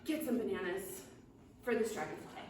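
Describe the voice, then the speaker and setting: A woman voicing a pompous, bookish male king who speaks slowly and grandly, recorded in an echoey room from a distance. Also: medium pitch, lively intonation, darkish tone, a little hiss or hum, pitched below the performer's own voice, close to an everyday voice. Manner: slow, grand, self-important. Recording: echoey room, mic far off.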